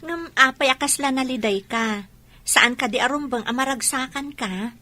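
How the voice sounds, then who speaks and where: A woman's voice: sits high, moderate pace, pushed and bright. A woman playing a caring, supportive young friend of an athlete in an Ilocano radio drama.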